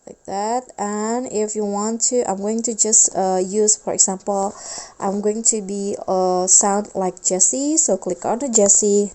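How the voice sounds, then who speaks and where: Mellow woman hosting a tutorial. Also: medium pitch, steady tone, a little slow. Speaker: tutorial host. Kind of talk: casual video app tutorial narration.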